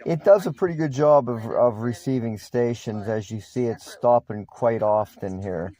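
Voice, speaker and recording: A man's voice: mid-range voice, moderate pace, dark tone. A man giving a casual, unscripted product review in a matter-of-fact, plain-spoken way.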